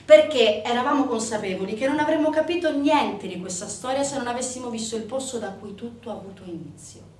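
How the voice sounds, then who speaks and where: A woman performing a dramatic scripted theatre narration, telling the story emphatically with deliberate pauses and repetitions. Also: on the high side, darkish tone. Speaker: stage actress performing a theatre monologue. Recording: echoey room from a distance, faint noise.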